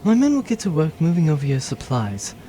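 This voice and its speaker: Lady space-pirate boss played by a man: formal, scheming, wistful, expressive pitch, for comic audio drama. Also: a little fast, a little hiss or hum, low-quality recording. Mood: resigned, wistful.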